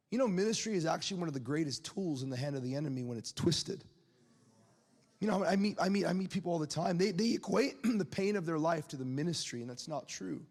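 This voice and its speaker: Young man, late twenties, preacher, candid chatty sermon, high voice, hall reverb. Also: a little mellow. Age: 20s. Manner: candid, conversational preaching with laughs and asides to the crowd.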